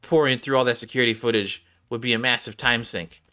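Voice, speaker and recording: A man's voice: medium pitch, fairly even intonation, fast, sharp tone. A man reading game cutscene dialogue aloud, now and then stumbling over words and rereading them.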